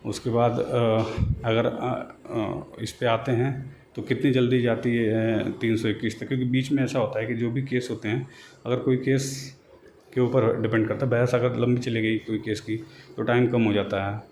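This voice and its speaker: A man giving an informal explanatory update to viewers as an online host, in a halting, repetitive way with drawn-out words. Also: medium pitch, level tone, a little mellow, a little hiss or hum. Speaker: YouTube host giving exam and recruitment updates.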